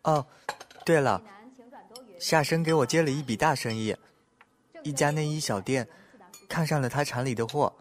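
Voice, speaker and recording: A man's voice: mid-range voice, lightly acted. Mild, obliging young man, acted by a man. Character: mild, soft-hearted, obliging young shopkeeper. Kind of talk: Chinese audio drama acting.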